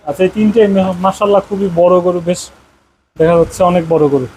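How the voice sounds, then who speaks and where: A man's voice: sits high, a little fast, a little mellow. A man with a Bangladeshi accent hosting a farming programme on location, interviewing and often repeating what he hears back. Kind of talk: on-location interview with a scripted-sounding welcome. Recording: noisy on-location farm recording.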